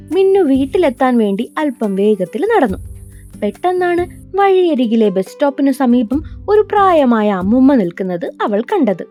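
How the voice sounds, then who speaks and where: A woman's voice: sits high, warm tone. A woman narrating a scripted bedtime story for children.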